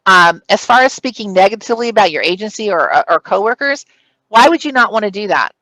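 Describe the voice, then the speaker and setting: A woman's voice: mid-range voice, animated, a little fast, sharp tone, poor audio. A woman presenting a conference session on interview preparation. She speaks in a strong, projected voice and lays out emphatic, numbered points. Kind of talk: recorded conference talk giving career advice.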